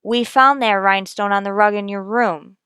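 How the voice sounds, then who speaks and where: A woman's voice: mid-range voice, normal speed, sharp tone, clear, resonant tone, no room sound, studio quality, close to an everyday voice. A woman playing a coolly methodical police detective sergeant in a radio crime drama, clipped and matter-of-fact. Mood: pressing, accusing.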